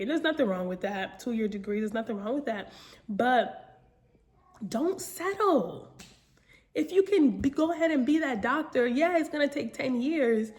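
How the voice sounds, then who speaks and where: A woman's voice: on the high side, darkish tone. A young adult woman giving an informal, earnest motivational talk, addressing listeners directly with repeated phrases and rhetorical questions. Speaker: motivational speaker.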